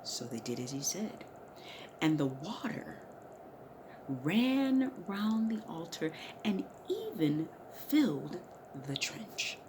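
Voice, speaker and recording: A woman narrating a Bible story as a theatrical, emphatic dramatic reading, moving between hushed and loud delivery. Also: fairly low pitch, very animated, slow, background noise. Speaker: dramatic reader.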